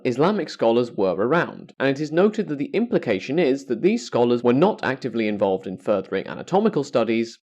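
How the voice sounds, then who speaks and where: Man narrating with lively, swinging intonation. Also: mid-range voice. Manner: formal, carefully structured delivery. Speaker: podcast host and narrator. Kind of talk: scripted educational narration.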